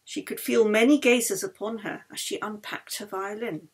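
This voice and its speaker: A woman reading a novel aloud as its author, in an expressive storytelling manner that brings the dialogue to life. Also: mid-range voice, moderate pace, slightly rough. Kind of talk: scripted narration, audiobook reading.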